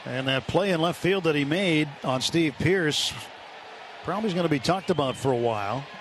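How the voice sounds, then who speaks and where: A man's voice: fairly high pitch, expressive, moderate pace. An American man calling live baseball play-by-play for a radio broadcast. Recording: radio broadcast over clear stadium noise. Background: ballpark ambience and crowd noise.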